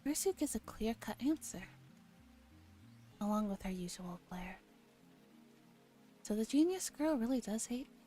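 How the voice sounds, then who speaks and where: A soft-spoken woman streamer reading a visual novel aloud in a relaxed ASMR style over music. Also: fairly high pitch, a little slow, long pauses. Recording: music behind the voice. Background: soft background music.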